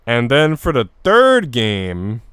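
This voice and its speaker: A man's voice: mid-range voice, huge pitch swings, takes it slowly, a bit bright, clean tone, no echo, studio quality. A man livestreaming casually, talking to his viewers in informal, slangy language. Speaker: game streamer. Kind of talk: casual livestream chat, reading visual novel dialogue aloud.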